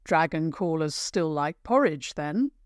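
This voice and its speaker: A woman's voice: low-pitched, animated, a little slow, clear, resonant tone, dry recording, clean studio sound, pitched below the performer's own voice. A woman voicing a loving, proud village father in a children's story, speaking tenderly. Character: loving, proud village dad of a young boy.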